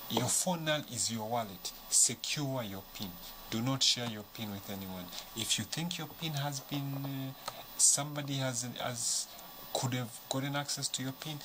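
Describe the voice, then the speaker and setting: A man's voice: medium pitch, noisy background. A man, a studio guest, giving earnest advice. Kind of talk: studio discussion.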